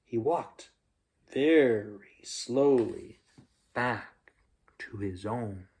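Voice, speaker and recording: A man reading a children's bedtime story aloud, expressively, with dramatic emphasis and pauses. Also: medium pitch, lively intonation, a little mellow.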